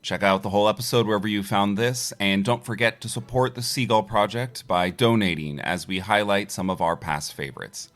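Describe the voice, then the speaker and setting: A man's voice: lowish voice, brisk, hardly any pauses, a bit bright. A man giving a scripted introduction as the host of a show.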